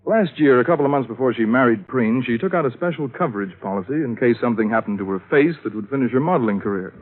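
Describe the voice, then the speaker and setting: An actor playing a matter-of-fact, businesslike insurance man in a 1950s radio drama, explaining in a measured, callous way. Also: mid-range voice, expressive, natural voice. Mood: explanatory, concerned. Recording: muffled, phone-like old radio recording.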